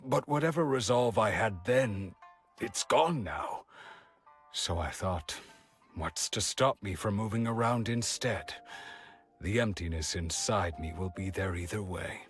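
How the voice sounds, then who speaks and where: A man's voice: on the low side, measured pace, faint music behind, close to an everyday voice. A man playing a weary, forlorn older blind villager in a video game, speaking with hesitant resignation. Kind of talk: English video game voice acting.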